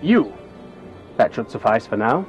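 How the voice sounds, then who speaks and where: A man's voice: medium pitch, very animated, measured pace, darkish tone, soft background music. A man playing a smug, coldly menacing imperial officer in a video game, speaking with formal, theatrical condescension and false reassurance. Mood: smooth menace. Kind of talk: video game cutscene acting.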